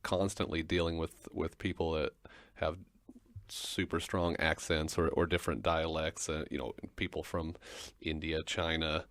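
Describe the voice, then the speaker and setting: A man from Arkansas talking in a halting way on a casual panel podcast, stumbling over words. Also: very deep voice, fairly even intonation, fairly bright tone, no echo. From Arkansas, USA. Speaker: guest.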